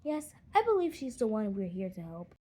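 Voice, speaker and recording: A woman voicing a kind male eagle in a children's storybook, gentle and assured. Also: mid-range voice, sing-song, moderate pace, breathy edge. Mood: sure.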